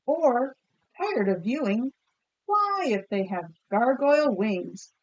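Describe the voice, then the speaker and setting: A woman teacher reading a picture book aloud expressively and pausing to ask listeners questions. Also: fairly high pitch, very animated, dull audio. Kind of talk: storybook read-aloud with comprehension questions.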